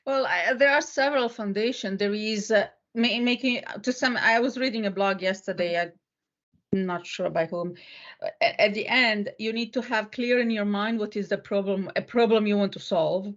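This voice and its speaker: A woman of average pitch speaks as a panelist, explaining things step by step. Her delivery is conversational. The recording is somewhat dull and lo-fi. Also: normal speed, fairly bright tone. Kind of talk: casual panel discussion.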